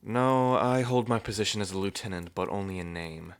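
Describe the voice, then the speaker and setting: A man voicing a wry, gentle male dragon archmage and royal advisor who is self-deprecating and easygoing. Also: on the low side, fairly quick, dry recording, lightly acted.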